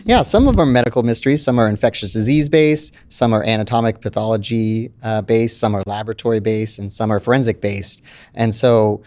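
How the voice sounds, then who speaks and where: Lowish-voiced man answering in an interview. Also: a little fast, a little mellow. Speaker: physician interviewee, committee chair.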